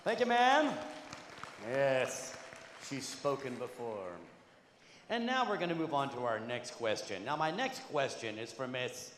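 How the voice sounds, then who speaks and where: A man's voice: medium pitch, animated, a little slow, a bit bright, slight background noise. A man hosting questions at a conference in a large hall, with a showy, upbeat emcee manner. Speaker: event host. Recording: echoey hall, long reverb.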